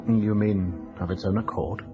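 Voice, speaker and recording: A man's voice: lowish voice, fairly even intonation, measured pace, over music, close to an everyday voice. A man playing a studious, unworried young scholar with an educated British accent, precise and dryly dismissive, in a radio drama. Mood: dryly amused. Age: young adult. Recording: dull lo-fi radio drama recording.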